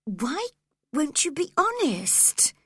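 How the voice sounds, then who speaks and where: A spirited teenage girl speaks in a high, earnest voice that rises and falls, as a woman puts her on. She sounds close and dry. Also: huge pitch swings, takes it slowly, no room sound, full character voice. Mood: upset. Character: spirited teenage girl, impatient then gentle.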